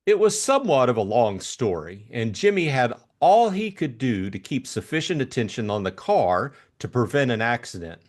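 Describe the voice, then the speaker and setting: A man's voice: medium pitch, very animated, moderate pace, few pauses. Male narrator for classic fiction audiobook, mid-range voice with plenty of melodic movement. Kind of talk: scripted story narration.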